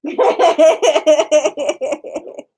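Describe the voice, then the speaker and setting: Brief: woman with a Maryland accent, chatty vlogger, slow and mellow. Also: high voice. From Maryland, USA. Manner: rambling, chatty, talks to live viewers. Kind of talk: casual live-stream chat.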